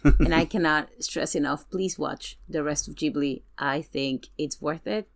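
Female podcast guest, deep-pitched for a woman, informal conversational delivery. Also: unhurried, vocal fry. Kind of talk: casual chat.

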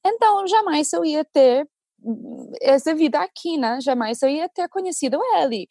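A fairly high-pitched woman chats away in Portuguese, her being English. She talks in an animated, easygoing way and keeps checking in with little tag questions. The recording is close and dry. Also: moderate pace, dry recording. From United States. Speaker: Portuguese teacher and host. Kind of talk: casual conversation.

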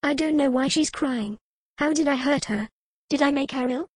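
Woman voicing a worried little girl, pleading, high and pinched, dry close audiobook read. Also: moderate pace, darkish tone, dry recording, natural voice. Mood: anxious. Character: earnest anxious little girl, about seven.

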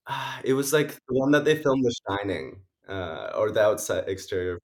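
A man's voice: lowish voice, expressive, moderate pace. A young man, a musician guest, answering interview questions casually, sniffly and rambling with many fillers. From Canada. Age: young adult. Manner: rambling, many likes and uhs, laughs often.

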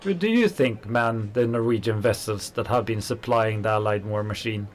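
An actor playing an angry working-class kitchen worker, a fiery socialist who talks in heated, scornful bursts. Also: male voice, on the low side, moderate pace, close to an everyday voice. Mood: impassioned. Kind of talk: radio play acting.

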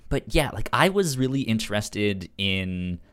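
A man's voice: fairly low pitch, expressive, measured pace, fairly bright tone. A man co-hosting a podcast, casually discussing comic books, with a stutter that repeats sounds and syllables. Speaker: podcast co-host.

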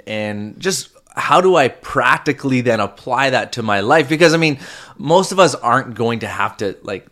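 A man's voice: medium pitch, a bit bright. A young adult man co-hosting a podcast with his wife, telling stories in an earnest way with many restarts. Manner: earnest, storytelling, frequent restarts and fillers. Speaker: podcast co-host. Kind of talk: casual conversation with spouse.

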